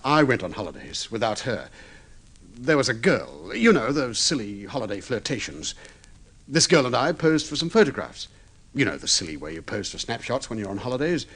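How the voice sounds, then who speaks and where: A man's voice: medium pitch, sing-song, moderate pace, a bit bright. An actor playing an agitated, guilty husband in an old radio drama, pleading earnestly and stumbling over his words. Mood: confessing, anxious. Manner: earnest, flustered, hesitant and repeating himself. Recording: slightly muffled vintage radio drama recording.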